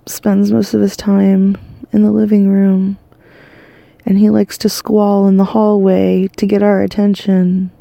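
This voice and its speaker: Exhausted woman murmuring, gravelly and dark. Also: mid-range voice, no room sound. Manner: soft, drowsy, half-whispered, rambling. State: exhausted, losing her voice. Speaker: podcast host. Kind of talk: sleepy bedtime monologue. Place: lying in bed at home.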